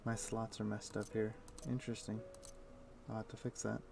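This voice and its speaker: A man's voice: on the low side, flat delivery, moderate pace, creaky, noisy background. A man streaming a video game, chatting casually and thinking aloud with lots of fillers. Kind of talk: casual gameplay chat. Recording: faint music in the background. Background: soft game music.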